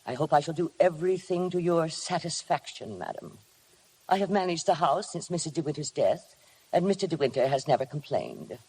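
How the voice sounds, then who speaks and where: A woman playing a stiffly formal, quietly menacing housekeeper in a 1950s radio drama, speaking with measured deference. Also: deep voice, expressive, breathy edge. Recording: noisy vintage 1950 radio broadcast.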